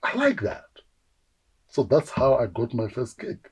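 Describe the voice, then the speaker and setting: A South African man in his forties talks through his career in a relaxed interview. His voice is husky and mid-pitched. He tells his stories with energy and acts out the voices of the people he quotes. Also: unhurried. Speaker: actor guest. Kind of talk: casual interview storytelling.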